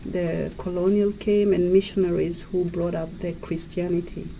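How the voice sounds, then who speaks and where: A woman from Kenya, a life coach, telling her story as a guest in a radio interview in a gentle, storytelling way. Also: lowish voice, fairly even intonation, moderate pace, noisy background.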